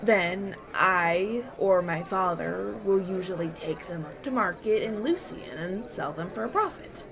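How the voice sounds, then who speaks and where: Young human farm lad played by a man, fantasy audio drama. Earnest, stammering, very animated, banquet chatter behind. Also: high-pitched, measured pace, creaky, exaggerated voice. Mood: nervous, friendly. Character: polite, nervous young human farm boy at elven banquet. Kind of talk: fantasy audio roleplay acting.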